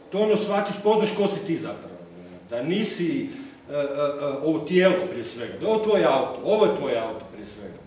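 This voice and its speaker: A man's voice: sits high, a little mellow, slight background noise. A man in his thirties organizing a meeting, rambling casually with many fillers. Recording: distant mic in an echoey room with crowd.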